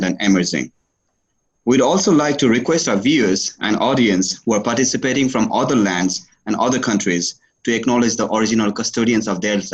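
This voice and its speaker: A man from Nepal with a Nepali accent, formally welcoming people as moderator in a halting, deliberate way. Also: lowish voice. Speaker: webinar moderator, youth engagement officer. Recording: dull video-call audio.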